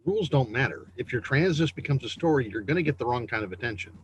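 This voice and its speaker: A man's voice: mid-range voice, lively intonation, fast, lightly acted. Worried, stern parent played by a man, noisy line. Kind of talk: screenplay table read. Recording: clear background noise.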